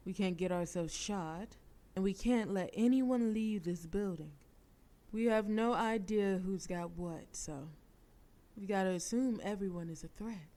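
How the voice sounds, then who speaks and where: Brief: a woman doing a ruthless lady mob boss, mellow voice, teasing and commanding. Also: medium pitch, close to an everyday voice. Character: cocky, flirtatious female mafia boss, ruthless planner. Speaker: audio roleplay performer. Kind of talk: scripted audio roleplay.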